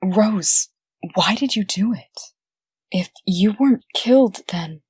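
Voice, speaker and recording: A woman playing a brisk, sardonic official in a comic dub, with a dry, pointed delivery. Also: mid-range voice, animated, darkish tone, husky, no room sound, heavily acted. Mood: shocked, upset.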